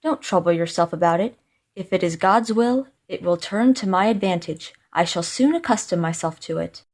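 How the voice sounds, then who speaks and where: A woman voicing a young male scholar in a storybook, a cheerful, fearless son with a calm, confident manner. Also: fairly low pitch, moderate pace. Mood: reassuring. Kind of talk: storybook character voice.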